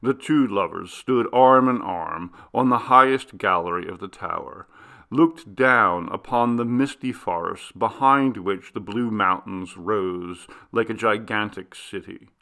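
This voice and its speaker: Creaky, bright-voiced man narrating theatrically. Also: fairly low pitch. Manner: theatrical, deliberate, literary delivery. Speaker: audiobook narrator. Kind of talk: dramatic scripted narration of a classic Gothic story.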